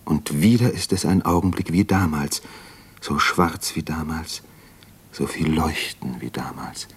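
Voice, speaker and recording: Brooding doctor, low husky voice, breathy, introspective, classic radio drama. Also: male voice, a little slow, heavily acted. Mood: brooding, haunted. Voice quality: husky, breathy, at times near whisper. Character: young male doctor, brooding, introspective, haunted. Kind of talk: German radio drama acting, inner monologue.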